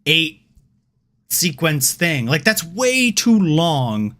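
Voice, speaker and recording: A man co-hosts a loose podcast conversation, pitched a touch above most men. He argues his view at length and swears casually. He often repeats words as he searches for the next thought. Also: very slow. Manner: animated, opinionated, swears freely, repeats words when starting. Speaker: podcast co-host. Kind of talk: casual chat.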